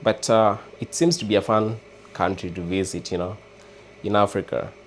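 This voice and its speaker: A man with a Kenyan accent chatting casually with viewers on a livestream, hesitant and halting, often restarting words. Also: lowish voice, steady tone, slight background noise. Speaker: livestream host. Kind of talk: casual live chat with viewers.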